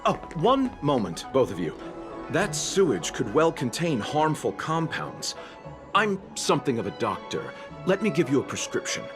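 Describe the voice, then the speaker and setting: A man voice acting a gentle, unruffled traveling doctor in a video game, with a polite, soothing manner. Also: a little high, huge pitch swings, normal speed. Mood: advising. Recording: loud music behind.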